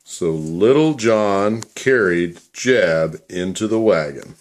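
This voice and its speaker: Male narrator for a children's puppet tale, low register, highly animated melodic delivery. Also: slow pace. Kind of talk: scripted storybook narration for a puppet show.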